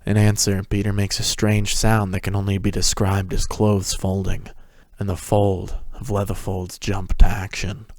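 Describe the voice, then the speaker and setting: A man's voice: lowish voice, monotone, moderate pace, few pauses, darkish tone, no echo, clean studio sound. A man narrating a story as a dramatic scripted reading. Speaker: narrator, fan fiction reader.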